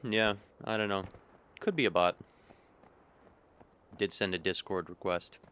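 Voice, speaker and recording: A man's voice: low voice, a little slow, smooth. A young man with a Michigan accent, chatting casually with his stream chat while playing a game. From Michigan, USA. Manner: relaxed, musing, talks to chat between game moves.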